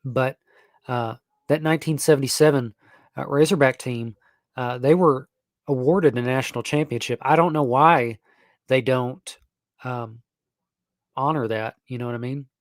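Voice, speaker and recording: A man's voice: medium pitch, unhurried, pauses often, dry recording, clean studio sound. A young man, hosting a casual sports live stream and rambling with fillers and restarts. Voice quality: nasal.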